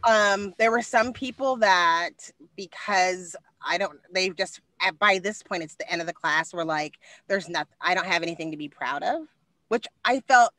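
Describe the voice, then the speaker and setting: Brief: animated woman in her 40s, high carrying voice, loud and quick, dry close webcam sound. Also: no room sound, low-quality recording. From Texas. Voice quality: high-pitched voice that carries. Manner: animated, loud and fast, with expressive hand gestures. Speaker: podcast co-host. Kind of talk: casual conversation. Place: small room indoors. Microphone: video call webcam.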